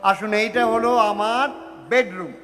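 An actor in a Bengali audio play playing a bumbling, eager-to-please bachelor suitor, hesitant, flustered and stammering, with a Bengali accent. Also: male voice, high voice, fairly even intonation, unhurried. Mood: eager, nervous. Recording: long hall reverb with faint music.

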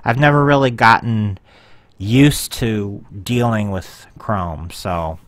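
A man's voice: on the low side, slow pace, no room sound. A man casually walking viewers through his website in a screen-share, rambling a little with frequent ums and self-corrections. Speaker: website owner giving a tour.

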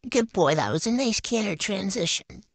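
A young woman gives casual play-by-play commentary as a gaming vlogger. She talks in short, chatty phrases, narrating each move as she goes. Her voice sits at a medium pitch and is recorded close, with very little room sound. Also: lively intonation, moderate pace, no echo.

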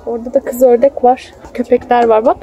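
A woman's voice: fairly high pitch, little pitch movement, a little fast, dark tone. A young woman chatting casually with her small child, affectionate and coaxing, as she films outdoors on a phone. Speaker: mother filming her child. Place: outdoors in a theme park. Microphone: handheld phone, behind the camera. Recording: noisy outdoor phone video.

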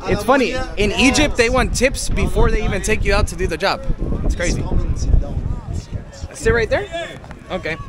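A man's voice: sits high, normal speed, long pauses, a crowd in the background. A man in his thirties chatting to the camera as a travel vlogger, filming himself at arm's length on a small boat outdoors. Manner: chatty, talks straight to camera, opinionated asides. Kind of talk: casual travel vlog. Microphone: action camera held at arm's length. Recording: outdoor wind and boat noise. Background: wind and water noise.

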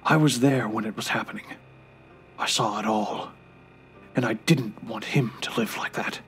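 An actor playing a frail, bitter, remorseful old grandfather in an audio drama, halting and irritable, sometimes hushed and breathy. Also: lowish voice, soft background music, close to an everyday voice. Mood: wistful, remorseful.

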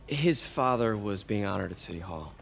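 A man's voice: on the low side, animated, moderate pace, creaky, slight background noise. An actor playing an earnest, slightly awkward young man, hesitant and sincere, in a naturalistic video game drama. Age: young adult. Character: earnest, awkward young lab assistant and nephew.

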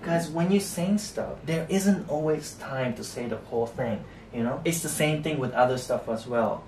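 A young man with a Japanese accent, teaching a relaxed whiteboard lesson and tagging his sentences with a soft "ne" and "you know". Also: normal speed. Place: small indoor room at a whiteboard. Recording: echoey room, distant mic, faint rumble.

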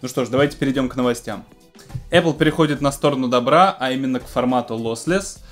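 Male host, 30s, medium-pitched voice, casual gear-news presenting to camera, background music. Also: quick pace. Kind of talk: news roundup to camera, semi-scripted casual talk. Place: small home studio room with keyboards.

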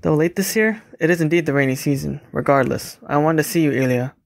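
A man plays a polite, understated young man in an audio drama. He talks briskly with some fry in his voice, recorded close and dry. He comes across as reserved and caring. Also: a little high, no room sound. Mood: gently caring. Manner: courteous, understated.

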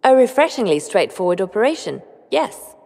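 An actress playing a cool, shrewd spymaster in a video game, speaking softly and huskily in a measured, formal, dry way. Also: female voice, mid-range voice, sing-song, creaky. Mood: cool, intrigued. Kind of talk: video game dialogue acting. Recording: long hall reverb.

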